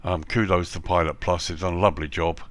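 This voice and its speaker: A man with a cold and a slightly hoarse voice, reviewing flight simulator scenery in a relaxed voice-over full of ums and repeated praise. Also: sits low, quick pace.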